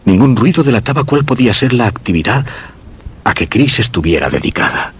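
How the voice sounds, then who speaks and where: An actor with a Castilian Spanish accent playing a weak, fearful husband who narrates a suspense radio drama in a measured, solemn way. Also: male voice, mid-range voice, very animated, slightly rough, muffled recording. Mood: solemn, uneasy. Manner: measured, literary, solemn storytelling. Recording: voice over background music.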